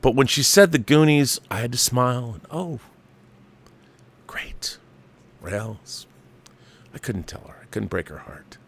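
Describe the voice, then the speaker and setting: A man's voice: medium pitch, lively intonation, a little slow, pauses often, a little hiss or hum. An older man hosting a movie talk show, reading viewer mail aloud and riffing on it in an opinionated, emphatic, conversational way.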